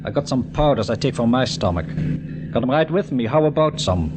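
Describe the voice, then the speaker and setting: An actor playing a dogged, pushy henchman who talks gruffly in 1950s radio acting. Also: mid-range voice, animated, brisk, very noisy, close to an everyday voice. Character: dogged male party henchman, pushy and sly.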